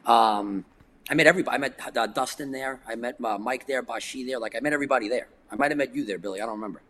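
A man's voice: medium pitch, rapid-fire, a bit bright. Give me a New guy in his forties chatting loosely on a podcast, stumbling and restarting as he tells stories. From New York, United States. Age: 40s. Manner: rambling, filler-heavy storytelling. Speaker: guest skateboarder. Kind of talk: casual podcast chat.

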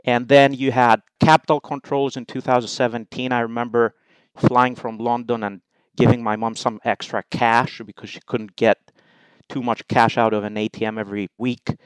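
A middle-aged man from Greece, a guest in an interview, explaining his views in measured, numbered points. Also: mid-range voice, little pitch movement, clean tone, no echo, low-quality recording. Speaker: investment allocator, guest. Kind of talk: interview answers.

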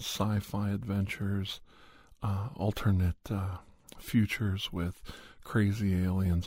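A man who makes ASMR videos, talking casually to camera from home into a condenser studio mic, his voice worn down by a cold and his talk unhurried and meandering. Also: fairly low pitch, husky, no room sound. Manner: unhurried and meandering, soft at times. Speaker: ASMR channel creator and podcaster. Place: at home, indoors. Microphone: large condenser studio mic.

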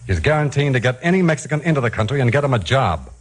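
An actor playing an earnest, serious American border officer, speaking deliberately in a 1950s radio drama. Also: male voice, medium pitch, sing-song. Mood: grave, explaining. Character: earnest, grave male Border Patrol officer.

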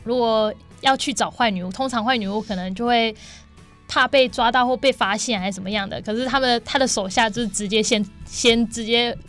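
A woman's voice: a little high, fairly quick, a bit bright, faint music behind. A woman chatting casually as an interview guest. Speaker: guest, theater singer and actor.

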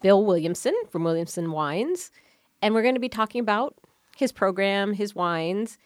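Woman host, lively intonation, casual chat, close dry mic. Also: medium pitch, normal speed, no echo. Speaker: podcast host.

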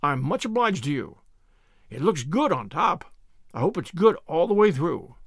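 A man's voice: a little high, big pitch swings, normal speed, gravelly, husky, dry recording, studio quality, full character voice. Guy doing a outspoken old farmer from a storybook, folksy and blunt, voice jumps around. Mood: pleased. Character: gruff, outspoken old farmer, brusque but kindly.

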